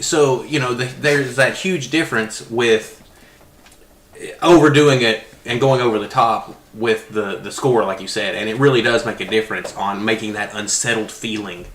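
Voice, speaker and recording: A man's voice: lowish voice, moderate pace, fairly bright tone. A man on a podcast panel, casually explaining his ideas with everyday comparisons. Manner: explains through everyday analogies. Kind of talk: casual film discussion. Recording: roomy, echoing sound.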